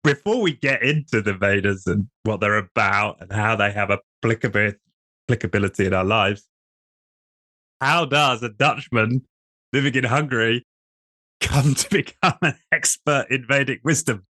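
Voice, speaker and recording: Bright-voiced podcast host, man. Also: mid-range voice, normal speed, no room sound. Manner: many filler "uh"s and restarts. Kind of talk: interviewer.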